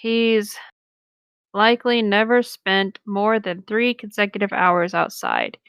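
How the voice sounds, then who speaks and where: A woman with an average-pitched voice reads a story aloud as a co-host. Her delivery is informal and sardonic, slipping into banter. The recording is close and dry. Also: very animated, slow, vocal fry, a bit bright, clear, resonant tone, no echo. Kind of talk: casual story narration and banter.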